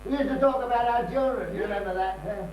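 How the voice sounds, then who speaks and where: A man's voice: very high-pitched, fast, a little mellow. A man playing a maudlin drunk, echoey and distant. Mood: nostalgic, tipsy. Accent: British. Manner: rambling, slurred repetitions, self-pitying. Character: maudlin, rambling drunken man abandoned by his partner. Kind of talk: amateur stage comedy acting. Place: small theatre stage. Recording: echoey, distant stage recording with hum.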